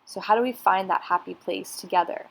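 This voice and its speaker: A woman guiding a meditation in a soothing, unhurried, instructional way. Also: medium pitch, creaky, low-quality recording. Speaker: meditation guide. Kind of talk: guided meditation narration.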